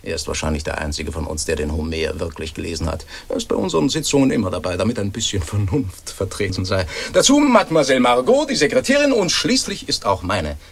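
A man playing an earnest, dignified science presenter in a satirical 1940s radio play, lecturing formally and deadpan. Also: low voice, fast, vocal fry, hardly any pauses, breathy edge, heavily acted. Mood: dignified explaining.

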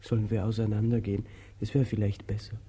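A tired, despairing tramp in a radio drama. His voice is deeply mellow and very husky, and he puts short questions in a plaintive, forgetful way. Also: flat delivery, quick pace. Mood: weary, despairing. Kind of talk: theatrical radio drama acting.

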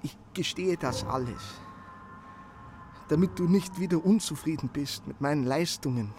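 A man's voice: on the high side, normal speed, darkish tone, a little hiss or hum, close to an everyday voice. An actor playing an anxious young male messenger in a radio play, stammering as he hurries through his report.

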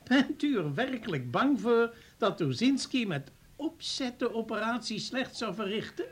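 An actor playing an indignant older hospital professor in a radio play, questioning insistently. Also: male voice, extremely high pitch, animated, unhurried, breathy edge, full character voice. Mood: outraged, defiant.

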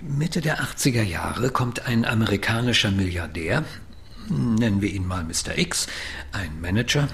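A jumpy older professor with a gravelly edge to his voice. His pitch leaps and dips widely as he protests, then lowers into a secretive tone when he confides. The voice comes from a radio drama. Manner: indignant, excitable, then confiding. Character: nervous, self-pitying older male professor. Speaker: brain researcher.

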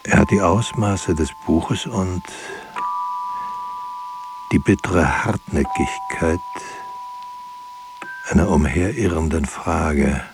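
An actor playing a solemn writer, narrating in a measured, meditative literary style for a radio drama, with hiss behind the voice. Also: male voice, sits low, over music. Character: solemn writer meditating on his own book.

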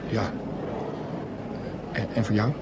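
An actor playing a nervous, wry secret agent on a first assignment in a Dutch radio play. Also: male voice, slow, lightly acted. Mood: wry, uneasy. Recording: loud vehicle and ambience noise.

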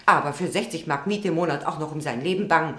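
An actress playing an unhelpful, self-righteous landlady in a radio play, with a brisk, dismissive, huffy manner. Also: low-pitched, fairly bright tone, reverberant. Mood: dismissive, impatient. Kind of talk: German radio play acting.

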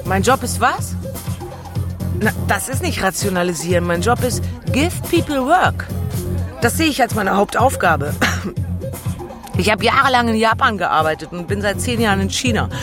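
An actress playing a blunt, worldly German expatriate manager, talking casually and dropping in bits of English, with music underneath. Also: female voice, mid-range voice, expressive, moderate pace, pauses often, natural voice. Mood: assertive. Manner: blunt, colloquial, drops in English phrases. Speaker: turnaround manager. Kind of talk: documentary-style monologue, casual talk.

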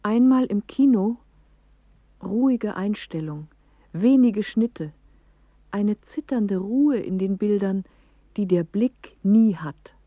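An actress playing a clever, dreamy woman who recites a poetic radio play monologue in measured, declamatory phrases. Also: medium pitch, no echo, narrow, tinny audio. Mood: contemplative. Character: clever woman musing in riddles, dreamy storyteller of images.

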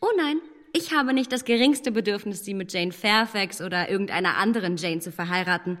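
An actress playing a self-assured, teasing young gentlewoman in a radio drama, playful and pointed, in a reverberant room. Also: mid-range voice, fairly quick, close to an everyday voice.

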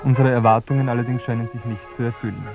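Radio play narrator: earnest male researcher played by an actor, factual, measured reporting, medium register over music. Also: medium pitch, steady tone, natural voice. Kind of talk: scripted mock-documentary narration in a radio play.

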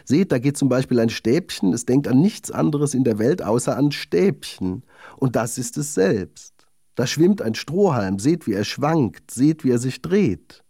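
A man's voice: medium pitch, expressive, unhurried, dark tone, slightly rough, dry recording, studio quality. Man voicing a vain, haughty little lady needle. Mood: superior. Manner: proud, self-satisfied, genteel. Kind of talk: children's fairy-tale storytelling.

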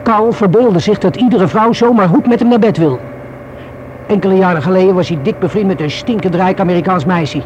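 Radio-drama young informant, played by a man: fairly high voice, wary, rueful, colloquial, hesitant, noisy vintage recording. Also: fairly quick, a little mellow, close to an everyday voice. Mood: frank, scornful. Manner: hesitant, colloquial, self-reproaching.

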